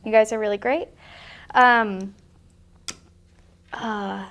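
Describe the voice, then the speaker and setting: A woman's voice: medium pitch, steady tone, takes it slowly, fairly bright tone. A woman with a slight cold hosting a live painting tutorial, chatty and laughing often, with frequent fillers. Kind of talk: casual live-stream tutorial talk. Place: art studio.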